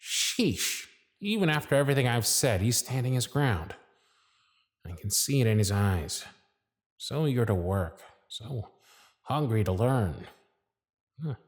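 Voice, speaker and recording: A man acting out a bitter, worn-out workshop supervisor in an audio roleplay, his pitch swinging up and down as he talks. He is sarcastic and brusque but sometimes sinks into a low, soft murmur. The recording is close and completely dry. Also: very animated, a little slow, long pauses, dry recording. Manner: sarcastic, weary and brusque, softening at times.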